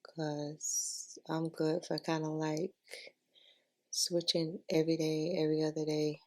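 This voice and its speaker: Woman beauty vlogger, lowish voice, super casual and chatty, makeup tutorial talk. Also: level tone, slow pace.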